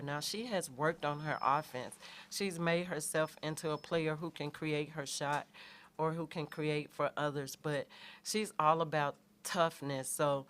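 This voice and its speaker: A middle-aged woman, a head coach answering questions in a halting way, with many fillers and restarts. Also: deep voice, smooth. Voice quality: soft voice. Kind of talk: postgame press conference answers.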